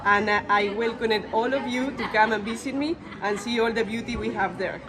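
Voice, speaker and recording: A woman's voice: fairly high pitch, normal speed, low-quality recording. A woman, introducing and promoting her beauty business as a guest. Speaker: beauty salon owner, interview guest. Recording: clear crowd noise behind.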